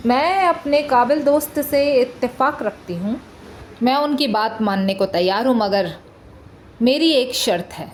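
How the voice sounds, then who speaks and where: A woman playing an impassioned, indignant prosecuting lawyer in a theatrical courtroom monologue, speaking with an Indian accent in deliberate, rhetorical phrases with dramatic pauses. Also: a little high, a little mellow, slight background noise. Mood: measured, sarcastic.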